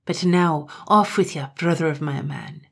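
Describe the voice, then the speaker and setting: Fierce young Highland wildcat of a girl, as a woman reader puts her on. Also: measured pace, creaky, husky, full character voice. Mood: scornful. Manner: spitting, fiery defiance.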